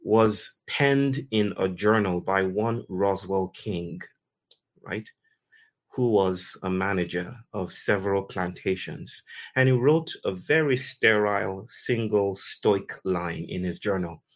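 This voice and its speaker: A man from Nigeria with a very low voice hosts a talk. He opens it by telling a story in slow, poetic phrases and leaves pauses between them. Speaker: host.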